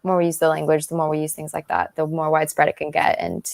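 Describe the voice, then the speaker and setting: Woman rattling off quick and chatty, kinda low voice. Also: little pitch movement, gravelly, no echo. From United States. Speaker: writer.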